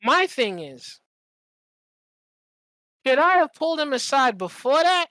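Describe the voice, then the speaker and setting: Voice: sits high, slow pace, no room sound. A podcast host telling stories casually and animatedly, with plenty of swearing and slang, often acting out what people said. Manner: animated, profane, slangy, acts out quoted dialogue. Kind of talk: casual storytelling in conversation.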